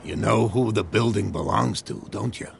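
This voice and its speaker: Gravelly old sailor. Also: male voice, fairly low pitch, moderate pace, a little hiss or hum. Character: superstitious old male sailor at the docks. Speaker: dockside sailor character. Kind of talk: video game voice acting.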